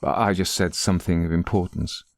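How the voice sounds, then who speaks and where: Man voicing a wavering, reluctant rector, creaky voice. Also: low-pitched, steady tone, moderate pace, dry recording, studio quality. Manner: wavering, mild. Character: hesitant, reluctant, henpecked English rector. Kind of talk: audiobook character voice.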